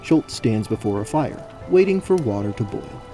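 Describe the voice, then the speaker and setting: A man's voice: fairly low pitch, big pitch swings, moderate pace, dark tone, soft background music. A man narrating a scripted fantasy story as the narrator of an audio drama.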